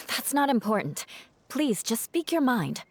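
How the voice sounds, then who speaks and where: A kind young woman adventurer in a video game. Her voice is fairly high with lively intonation. She stays composed, polite and gently firm. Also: unhurried, slightly rough, no echo, heavily acted. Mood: gently insistent.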